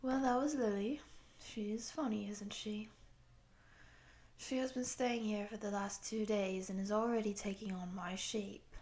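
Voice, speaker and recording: A woman playing a polite, composed guide in an audio roleplay, speaking softly to the listener. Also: mid-range voice, steady tone, a little slow, close to an everyday voice. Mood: calm, amused. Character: polite, composed female guide introducing monster girls. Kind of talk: intimate roleplay addressed to the listener.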